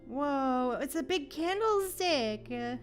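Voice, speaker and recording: A woman's voice: high-pitched, animated, takes it slowly. A woman hosting a gaming livestream, chatting casually and informally with her viewers, sometimes swearing. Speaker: livestream host playing a video game. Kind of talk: casual gaming stream chat. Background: game music.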